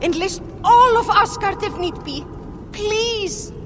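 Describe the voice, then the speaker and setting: An actress playing an earnest, sharp-tongued dwarf townswoman in a video game, pleading and emphatic. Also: very high-pitched, animated, slow, full character voice. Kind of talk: video game cutscene acting. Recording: clear background noise.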